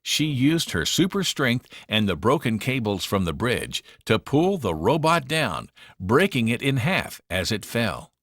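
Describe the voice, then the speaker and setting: A man narrating a children's read-along storybook in an expressive, dramatic manner. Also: medium pitch, measured pace, no echo, clean studio sound.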